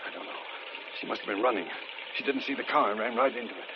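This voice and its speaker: An actor playing a sensible, reassuring husband who gets rattled, in 1940s radio mystery style. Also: male voice, medium pitch, fast, natural voice. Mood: uneasy. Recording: noisy, muffled vintage radio drama recording.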